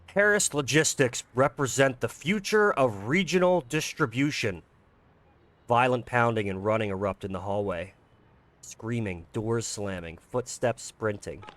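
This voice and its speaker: A man's voice: medium pitch, animated, a little slow, fairly bright tone, no echo. A man reading dramatic scripted narration for a horror visual novel. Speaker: narrator and creator.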